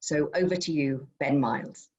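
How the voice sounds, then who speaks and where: A woman with a low voice hosts an event and makes introductions. She is conversational and a little hesitant, feeling her way between phrases. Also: moderate pace, dark tone, dull audio. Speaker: event chair. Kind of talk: informal spoken introduction.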